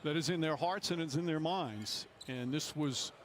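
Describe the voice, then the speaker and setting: A man giving measured, earnest television commentary as a basketball play-by-play announcer. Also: on the high side, a crowd in the background. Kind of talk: broadcast sports commentary. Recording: noisy game broadcast with court ambience.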